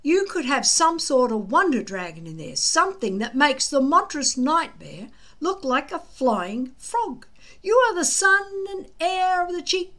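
A woman voicing an excitable, anxious and loyal young Viking boy in a storybook, with earnest emphasis. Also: high voice, voice pushed up, full character voice. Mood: excited, urging.

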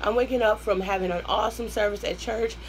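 Hoarse Southern woman, 30s, home eating-show host, chatty and warm with viewers, faint background noise. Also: mid-range voice, fairly even intonation, fast talker, poor audio. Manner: chatty, addresses viewers as y'all, hums while eating. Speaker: mukbang host. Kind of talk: casual eating-show chat. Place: small room at home, indoors.

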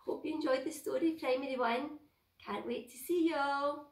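A woman teacher reading a picture story aloud to young children in an expressive, dramatic, sing-song way. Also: sits high, normal speed. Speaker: primary school teacher. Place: living room at home, seated on a sofa. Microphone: phone or camera a few steps away. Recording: roomy home recording, distant mic.

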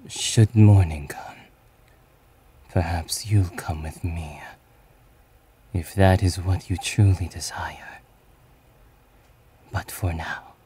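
A man voicing a brooding, conflicted young swordsman and tender lover in a romantic ASMR roleplay, halting and often whispering. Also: low voice, mellow, a little hiss or hum. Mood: tender, resolved.

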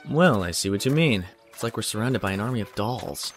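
A man's voice: fairly low pitch, animated, fairly quick, soft background music. Man playing a reserved, dry-witted visitor. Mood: dryly curious. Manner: reserved, understated. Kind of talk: audio drama acting.

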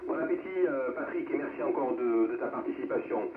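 A man's voice: medium pitch, brisk. A man moderating an amateur radio round-table in speech, heard over the air. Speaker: amateur radio net host. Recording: noisy, echoing, narrow-band radio reception.

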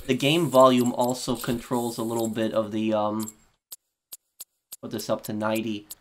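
A man's voice: mid-range voice, little pitch movement, normal speed. A man giving casual, bemused reaction commentary over a video game, rambling and hesitant. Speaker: gaming video host. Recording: game audio and noise behind voice. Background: video game sound.

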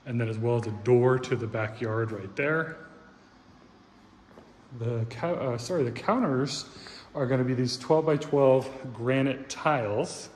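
A man's voice: mid-range voice, unhurried, darkish tone. A man casually narrating a walkthrough of a house for sale, upbeat and pointing out features as he walks. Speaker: real estate agent giving a house tour. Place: large empty house, indoors. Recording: echoey empty rooms, faint room noise.

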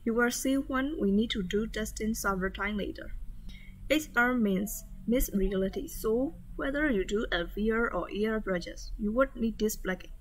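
A woman talking through a step-by-step software tutorial over a screen recording, in a plain instructional manner. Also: fairly high pitch, normal speed, slight background noise, rough recording.

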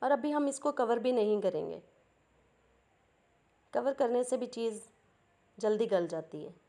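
Mellow-voiced woman explaining a recipe. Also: on the high side, lively intonation, a little slow. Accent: Pakistani Urdu. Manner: patient, explains each step plainly. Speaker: cooking channel host. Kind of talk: step-by-step cooking instructions.

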